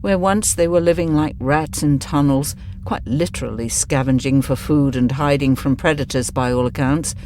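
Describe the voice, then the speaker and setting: An actor, a man, playing an earnest, reasoning starship officer in a science fiction audio drama, measured and articulate. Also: a little high, a little mellow, natural voice. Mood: earnest, disagreeing. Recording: faint background ambience noise.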